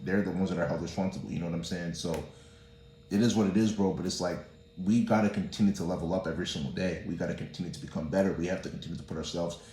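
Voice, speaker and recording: A man's voice: deep voice, steady tone, very fast, mellow, slight background noise. A young man born in the US to a Nigerian family, giving a casual motivational talk and preaching with lots of "bro" and "you know what I'm saying". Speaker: motivational vlogger. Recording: echoey room.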